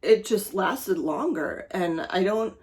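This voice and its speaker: A woman's voice: fairly low pitch, lively intonation, slow pace, a little mellow. Chatty middle-aged woman vlogger. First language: German. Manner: rambling, chatty, with many fillers and laughs. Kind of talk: casual personal vlog.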